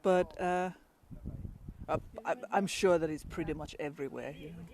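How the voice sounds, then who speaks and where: A woman's voice: sits low, moderate pace, a little mellow, a little hiss or hum. A woman from Finland with a Finnish accent, chatting casually outdoors in a pine forest for a travel vlog and laughing often between restarts. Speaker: travel vlogger.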